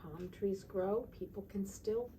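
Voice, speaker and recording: A woman teacher reading aloud to young children in a sing-song way, pausing to ask simple check-in questions. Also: medium pitch, dark tone, a little hiss or hum. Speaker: children's teacher and storytime reader.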